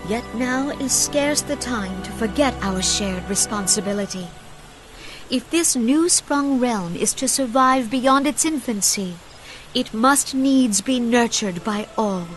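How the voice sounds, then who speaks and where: A woman's voice: a little high, expressive, slow. A woman voicing a gracious, earnest leader of a forest nation in a fantasy video game, speaking with solemn, archaic formality. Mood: solemn, troubled. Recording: loud music under voice.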